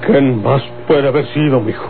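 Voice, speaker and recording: A Mexican man playing a gruff, vengeful older rural boss, folksy and emphatic, in an old radio serial. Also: mid-range voice, normal speed, close to an everyday voice. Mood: urgent concern. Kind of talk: Mexican golden-age radio serial acting. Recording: tinny old radio recording, music behind.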